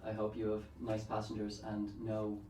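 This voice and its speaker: Soft-spoken musician, quick talker. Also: male voice, lowish voice, completely flat delivery, soft background music, rough recording. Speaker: musician guest. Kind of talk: casual interview chat. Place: radio studio. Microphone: studio microphone.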